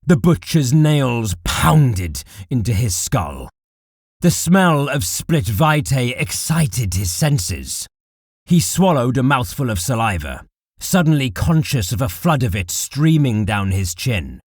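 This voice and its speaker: A man narrating dark war fiction as a scripted audiobook, in a deliberate, dramatic manner. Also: medium pitch, sing-song, husky, no room sound, studio quality.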